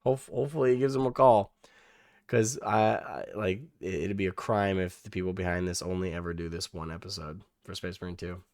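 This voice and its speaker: A young man hosting a reaction video, losing his voice and hoarse, rambling casually with many restarts and the odd swear. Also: sits low, steady tone, fairly quick, a little mellow, no echo, clean studio sound.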